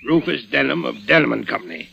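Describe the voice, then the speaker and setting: A very creaky, pompous old businessman. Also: mid-range voice, fast talker, bright, forceful tone. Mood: indignant. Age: older. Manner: pompous, huffy, hesitant. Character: pompous, indignant, blustering older male businessman. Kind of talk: old-time radio acting. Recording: muffled, hissy old radio recording.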